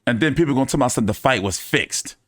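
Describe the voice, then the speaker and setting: A man's voice: mid-range voice, expressive, racing, a bit bright, no echo, studio quality. A man hosting a casual fight-commentary live stream, talking in loose, profane, joking banter. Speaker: boxing commentary host.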